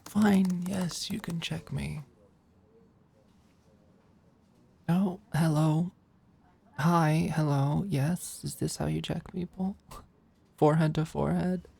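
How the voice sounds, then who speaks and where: A performer of unclear sex playing a flirty, overworked office manager in an intimate ASMR roleplay, with a halting, teasing murmur. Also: medium pitch, steady tone, gravelly, a little mellow, slightly rough, full character voice. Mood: playful, fond.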